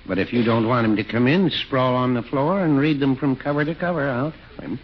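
An American actor playing a mild, hesitant, gently contrary small-town druggist with a droll, understated manner in 1940s radio comedy. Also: male voice, mid-range voice, fairly quick, natural voice. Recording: muffled, noisy 1940s radio recording.

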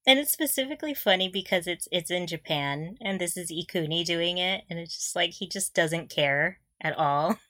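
A woman co-hosting a podcast in casual chat, with a giggly, expressive way of reacting. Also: fairly low pitch, normal speed, few pauses, no echo, studio quality. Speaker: podcast co-host.

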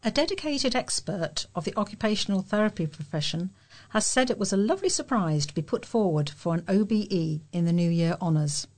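A woman's voice: medium pitch, expressive, fairly quick, darkish tone. A British woman reading local news articles aloud as a volunteer news reader.

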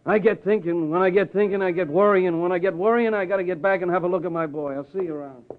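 An actor playing an anxious, fretful boxing trainer in a vintage radio crime drama. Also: male voice, high voice, fast talker, a little hiss or hum, lightly acted. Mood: worried. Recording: tinny vintage radio broadcast.

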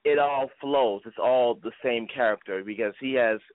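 A man's voice: mid-range voice, normal speed, no room sound. A man in his twenties answering interview questions as an actor, earnest and enthusiastic in a conversational way. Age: 20s. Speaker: stage actor being interviewed. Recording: dry tinny phone-line sound.

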